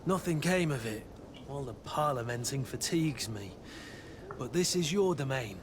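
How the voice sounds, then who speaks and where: An actor playing a blunt, world-weary soldier companion in a video game, dry, plain-spoken and a little formal. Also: male voice, medium pitch, animated, moderate pace, noisy background, lightly acted. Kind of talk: video game drama acting.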